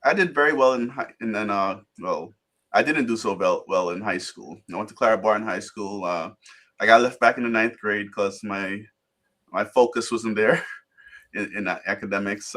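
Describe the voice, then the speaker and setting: Man from guyana, low voice, laid-back rambling storyteller, video call, fillers and restarts. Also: a bit bright. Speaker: interview guest. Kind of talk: casual storytelling interview.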